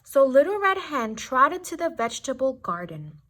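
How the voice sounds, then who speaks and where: A woman reading a children's story aloud with expressive, deliberate and dramatic phrasing. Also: high-pitched. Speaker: storybook reader.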